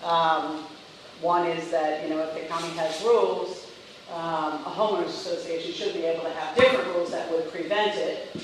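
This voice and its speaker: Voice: medium pitch, fairly even intonation, moderate pace. A county staff facilitator summarizing public feedback at a community meeting, talking loosely with many ums and restarts. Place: large indoor meeting room. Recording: echoey hall, distant mic, faint noise.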